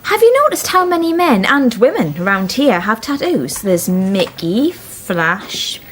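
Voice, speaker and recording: A brisk police detective. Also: female voice, fairly high pitch, big pitch swings, fairly bright tone, slight background noise. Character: sharp female police detective on an arson case. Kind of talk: radio drama acting.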